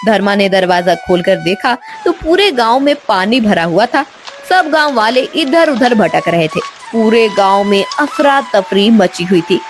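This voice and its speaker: A woman narrating a scripted children's story as an expressive storyteller. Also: medium pitch, a little slow. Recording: music bed behind the voice.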